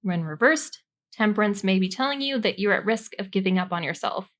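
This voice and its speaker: A woman's voice: medium pitch, animated, fairly quick, fairly bright tone. A woman co-hosting a podcast, explaining tarot cards in an informal, jokey, giggly way that moves between reading notes and casual chat. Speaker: podcast co-host.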